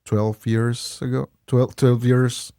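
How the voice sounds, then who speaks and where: A man's voice: mid-range voice, flat delivery, slow pace, warm tone, clean tone, no echo, studio quality. A young man with a Mexican Spanish accent, a native Spanish speaker, chatting as a guest and searching for English words. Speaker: guest, game store owner. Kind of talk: casual interview chat.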